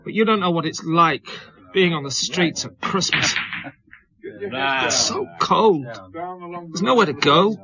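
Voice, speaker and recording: A despairing, self-pitying widowed father in a radio drama, drunk and full of apology. His fairly high voice lurches up and down in maudlin slurs. The recording is dull, with a murmur of people behind him. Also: animated, slow pace, vocal fry, long pauses, a crowd in the background, full character voice.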